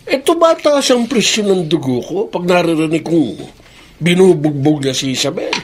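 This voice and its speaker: A Filipino man playing an indignant but compassionate elderly father with a husky voice in a radio drama. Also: fairly high pitch, lively intonation, normal speed.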